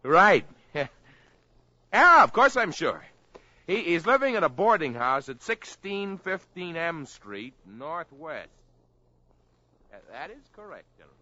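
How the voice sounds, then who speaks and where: Brash, animated American man. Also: a little high, a little slow, pauses often, no room sound, exaggerated voice. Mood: eager. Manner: pushy, excitable, clipped. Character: brash, self-serving, ambitious boyfriend out for glory. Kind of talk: 1950s radio drama acting. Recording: dull old 1950s radio recording.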